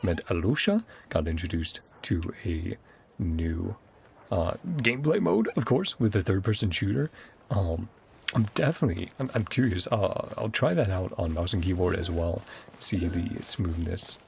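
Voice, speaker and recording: Low, gravelly-voiced male game streamer. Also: animated, normal speed, a little hiss or hum. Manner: rambling, enthusiastic. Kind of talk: casual livestream commentary wrapping up a game session. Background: game music.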